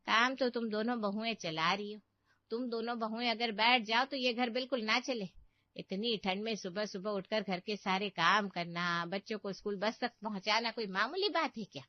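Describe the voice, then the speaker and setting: A woman's voice: mid-range voice, normal speed, no echo, highs cut off, lightly acted. A woman playing a nagging, meddling older aunt in a cartoon story, with a scolding, pitying and boastful manner. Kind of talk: dramatic Hindi cartoon story acting.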